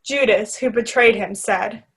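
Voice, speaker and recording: A woman's voice: on the high side, measured pace, a bit bright, husky. A woman reading scripted scripture narration as the narrator of a readers theater.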